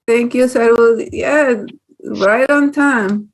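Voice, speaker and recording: Unhurried woman hosting an open mic. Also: on the high side, low-quality recording. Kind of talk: hosting announcements.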